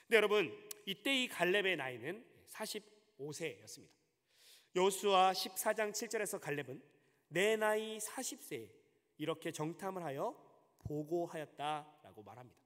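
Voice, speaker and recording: A man's voice: high-pitched, animated, normal speed, fairly bright tone. A man in his thirties, a pastor, preaching a sermon earnestly and asking rhetorical questions. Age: 30s. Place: church sanctuary. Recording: long hall reverb.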